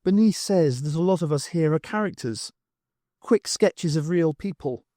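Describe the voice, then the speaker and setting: A man's voice: fairly high pitch, lively intonation, normal speed, mellow, no echo, studio quality, close to an everyday voice. A man voicing an adorable, lovestruck little dwarf in an audiobook, earnest and gushing. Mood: earnest, pleading.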